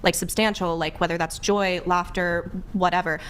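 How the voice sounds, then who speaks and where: A woman in a panel discussion, explaining her views in a measured, reflective way. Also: on the low side, steady tone, slight background noise.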